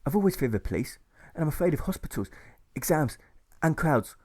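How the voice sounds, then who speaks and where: A jittery, cowardly young man frets and rambles, his words tumbling out hesitantly, as a man puts him on. He sounds a shade higher than the narrator's own voice, anxious and apologetic. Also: very animated, brisk, darkish tone, slightly rough. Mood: fretful. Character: timid teenage male conscientious objector.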